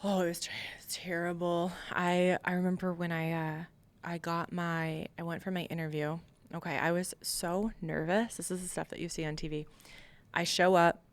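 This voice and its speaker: Low-voiced American woman telling her story. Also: flat delivery, moderate pace. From Long Island, New York, USA. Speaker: podcast guest, military veteran. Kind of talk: candid personal storytelling in an interview.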